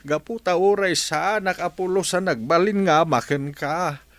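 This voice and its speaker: A man playing a humble, remorseful aging father in a family drama, halting and emotional, with an Ilocano accent. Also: high voice, a bit bright, no room sound. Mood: grateful, ashamed. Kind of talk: dramatized Ilocano radio-style story acting.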